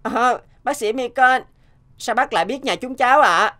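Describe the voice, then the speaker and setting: A woman's voice: a little high, normal speed, over music, lightly acted. A woman voicing a polite, earnest young orphaned boy, a devoted big brother, in a cartoon. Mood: tender. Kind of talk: Vietnamese cartoon dubbing.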